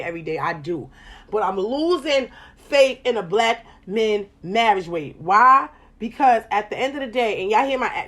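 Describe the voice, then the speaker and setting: A woman hosting a casual podcast chat, animated and profane, her voice jumping loud and high when she gets excited. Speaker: podcast host.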